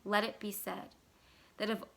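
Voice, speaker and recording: A woman reading a short story aloud as scripted narration, with expressive, deliberate and dramatic phrasing. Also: medium pitch, gravelly. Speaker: library staff storyteller.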